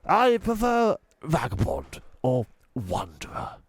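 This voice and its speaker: A man's voice: fairly high pitch, huge pitch swings, slow pace, husky, dry recording, pitched above the performer's own voice, heavily acted. A man voicing a courteous, quaintly well-spoken old male tramp in a storybook, with hesitant little repeats. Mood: dignified. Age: older.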